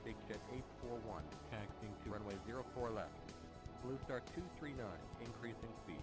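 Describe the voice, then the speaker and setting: Male actor as video game air traffic controller and pilot: low voice, clipped scripted phraseology, unemotional, over loud music. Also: animated, moderate pace, close to an everyday voice. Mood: procedural, businesslike.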